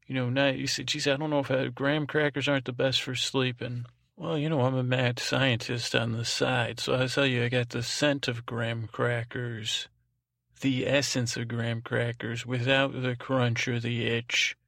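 Creaky-voiced man rambling a bedtime monologue. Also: medium pitch, flat delivery, a bit bright, dry recording, clean studio sound. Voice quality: creaky, gravelly. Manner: halting, meandering, repeats and trails off; lulling, soothing. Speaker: podcast host. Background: music.

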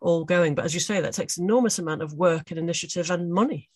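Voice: medium pitch, fast talker, slightly rough, no echo, clean studio sound. A podcast host who talks in a hesitant way, restarting often while building a point. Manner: hesitant, with many restarts and fillers. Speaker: podcast host and magazine editor. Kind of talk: podcast conversation.

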